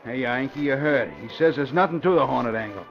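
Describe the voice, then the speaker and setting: An actor playing a blunt, suspicious, hot-tempered gang boss in an old radio crime drama, with curt slangy talk. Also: male voice, medium pitch, expressive, fast talker, soft background music, lightly acted. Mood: angry. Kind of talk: 1940s radio crime acting. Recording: dull vintage radio recording.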